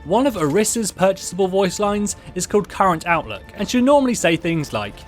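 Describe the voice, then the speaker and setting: A man's voice: sits high, very animated, fairly quick, faint music behind. A man hosting a video, reading scripted narration. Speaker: video host. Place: close mic voice-over with music behind.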